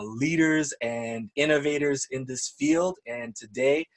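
A man hosting a ministry podcast, welcoming his guest in an upbeat, enthusiastic way with churchy phrasing. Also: mid-range voice. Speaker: podcast host. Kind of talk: podcast intro and interview.

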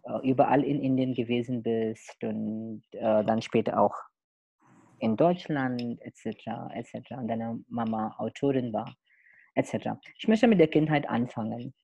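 A young man hosting a podcast, opening with a soft spoken introduction and then chatting with many fillers. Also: mid-range voice, gravelly, breathy edge, lo-fi sound. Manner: soft, slow intro over music, then chatty and halting. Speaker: podcast host. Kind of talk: podcast interview with narrated intro.

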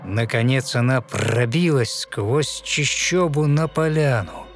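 Slow-paced male verse narrator over music. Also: mid-range voice. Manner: measured, expressive, pausing between short phrases. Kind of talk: dramatic storytelling of a fairy tale in verse.

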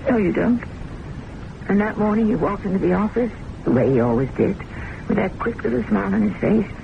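An actress playing a weary, lovelorn secretary in an old-time radio drama, intense and wistful in manner. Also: female voice, medium pitch, expressive, fairly quick, vocal fry. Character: weary, lovelorn confidential secretary, a distrustful woman patient. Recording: muffled, noisy old radio recording.

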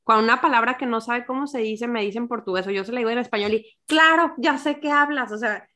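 A woman's voice: a little high, fast. Chatty woman from Guadalajara. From Guadalajara, Mexico. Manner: animated storyteller, acts out quotes. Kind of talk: casual chat.

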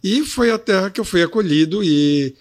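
A man in his fifties with a Pará Portuguese accent, a podcast guest telling animated stories about his childhood. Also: high voice, normal speed. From Brazil. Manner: storytelling, animated anecdotes. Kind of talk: casual interview chat.

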